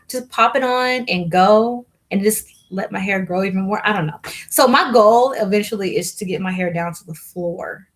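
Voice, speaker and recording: A woman's voice: medium pitch, normal speed, few pauses. Chatty young woman rambling on a live stream. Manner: rambling, filler-heavy, chatty with viewers. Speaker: hair vlogger hosting a live Q&A. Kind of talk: casual live-stream chat.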